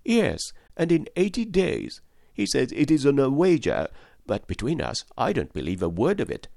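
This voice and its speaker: A man reading an audiobook voices an excitable, voluble French manservant who talks fast and stubbornly. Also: medium pitch, creaky, no echo, clean studio sound, pitched above the performer's own voice. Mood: confiding.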